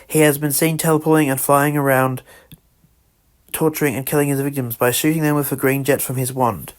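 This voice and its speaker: A man narrating an amateur scripted emergency broadcast, halting and correcting himself as he reads. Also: medium pitch, fairly even intonation. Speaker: radio emergency announcer in a fan-made scenario.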